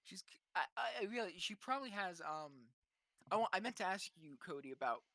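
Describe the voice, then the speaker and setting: A man's voice: high voice, lively intonation, a little fast, pushed and bright, no room sound, studio quality. A man playing a tabletop roleplaying game, improvising casually in a hesitant, tentative, self-deprecating way.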